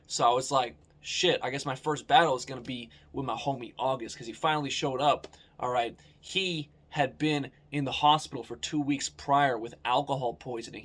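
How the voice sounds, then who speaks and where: A man's voice: mid-range voice, fairly bright tone, husky. An American man narrating his own vlog in a casual, candid way, swearing now and then. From United States. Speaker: vlogger.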